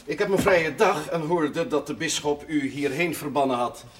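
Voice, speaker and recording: An actor playing a worldly, teasing country priest with a wordy, self-assured manner in a television drama. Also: male voice, on the high side, normal speed, a little hiss or hum, lightly acted. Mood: wry, teasing. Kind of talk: naturalistic TV drama dialogue.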